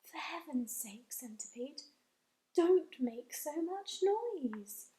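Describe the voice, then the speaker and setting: A woman's voice: sits high, big pitch swings, takes it slowly, warm tone, heavily acted. A woman doing an eager whispering boy. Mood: hushing. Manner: breathless, urgent whispering. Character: eager, excitable young boy. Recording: echoey room.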